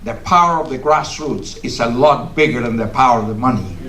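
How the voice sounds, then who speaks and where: A man's voice: medium pitch, lively intonation, a little fast, a bit bright. Older male guest speaker, Cuban Spanish accent, native Spanish, emphatic speech style, distant and roomy. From Cuba. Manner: emphatic, preacherly, builds with repetition. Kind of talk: political speech. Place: meeting hall of a congregation. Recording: echoey hall from far off.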